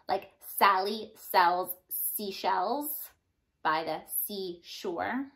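A woman in her thirties giving an expressive storybook read-aloud for young children, like a teacher addressing her class. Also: medium pitch, vocal fry. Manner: animated, addressing young children, sounding out rhymes. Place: small indoor room.

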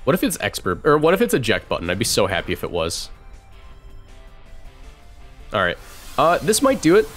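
A young man in his twenties streams a video game with an average-pitched voice. He talks casually and thinks out loud as he plays. Light music runs behind him. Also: huge pitch swings, normal speed, pauses often. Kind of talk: casual live game commentary.

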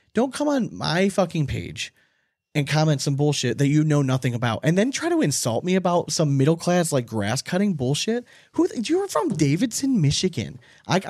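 A man's voice: a little high, a little mellow, dry recording, studio quality. A man hosting a casual sports podcast, talking in a blunt, rambling, loose way with some swearing. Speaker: podcast host.

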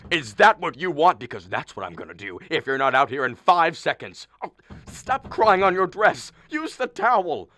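Man playing a blustering, exasperated father, wildly animated. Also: on the high side, normal speed, no echo. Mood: angry, shouting. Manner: loud, sarcastic, hectoring. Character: exasperated, blustering middle-aged father on his daughter's wedding day. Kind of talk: radio play acting.

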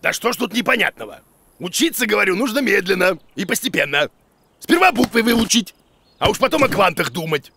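A man voicing an excitable, grumbling, absent-minded bearded teacher creature in a children's cartoon, muttering and stammering theatrically. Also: sits high, fairly quick, gravelly, dry recording, heavily acted. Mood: lecturing.

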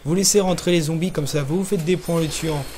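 A young man hosting a gaming channel, talking through a zombie game in casual commentary, hesitant with lots of pauses and fillers. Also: fairly high pitch, fairly even intonation, noisy background. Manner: hesitant, many pauses and fillers, talks while playing.

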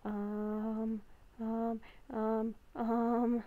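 A woman playing a nervous young female werewolf in an improvised Minecraft roleplay, halting and stammering as she talks. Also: a little high, completely flat delivery, phone-quality sound. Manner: halting, stammering, repeats herself. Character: nervous young woman werewolf, hearing ghostly voices at night.

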